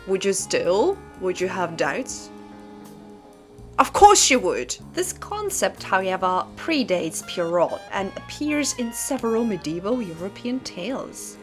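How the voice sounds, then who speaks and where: Woman hosting a dramatic, conversational book commentary. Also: mid-range voice. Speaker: host of a disturbing literature channel. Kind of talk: casual scripted commentary to camera.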